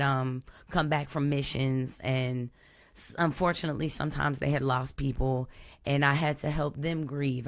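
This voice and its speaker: A woman from Texas, hosting a live stream in a relaxed, chatty way over an online call. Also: low-pitched, creaky. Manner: relaxed, chatty, open about personal experience. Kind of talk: casual chat. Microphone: online call or stream mic.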